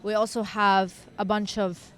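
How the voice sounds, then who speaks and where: A woman's voice: medium pitch, level tone, unhurried, fairly bright tone. A woman hosting an interview at an event, asking questions enthusiastically and with many fillers. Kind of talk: casual interview.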